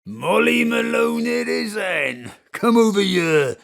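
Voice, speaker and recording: Leering alley drunk, slow lewd drawl, swooping delivery, audio drama. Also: male voice, sits high, very animated, vocal fry, a bit bright, exaggerated voice. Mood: leering, boisterous. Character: leering drunken lecherous man in a dark alley.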